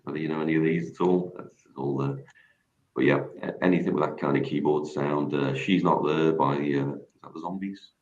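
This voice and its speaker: A man with an extremely deep voice talks casually about singing, modest and full of restarts. The sound is roomy and a little dull, like a studio with hard walls. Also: steady tone, fairly quick, a little mellow. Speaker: guest singer. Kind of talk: casual radio chat.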